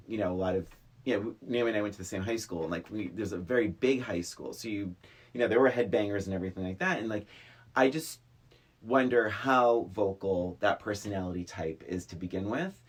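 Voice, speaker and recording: A middle-aged man co-hosting a casual film podcast, giving his opinions in an animated way. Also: lowish voice. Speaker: podcast co-host.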